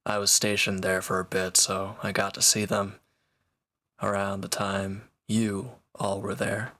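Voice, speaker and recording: A man acts out a sardonic former villain in an intimate audio drama. His voice is fairly deep with a bright, forceful edge. He talks slowly and casually, teasing his listener with dry remarks. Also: monotone. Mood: dry, matter-of-fact. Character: sardonic, teasing reformed male villain recovering from wounds. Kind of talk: intimate roleplay audio drama, one-sided dialogue.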